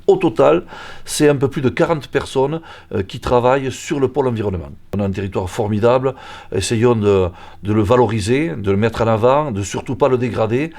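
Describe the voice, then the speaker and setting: Low-pitched man, local official explaining. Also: darkish tone. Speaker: local official in charge of environment. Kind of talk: semi-prepared explanatory presentation.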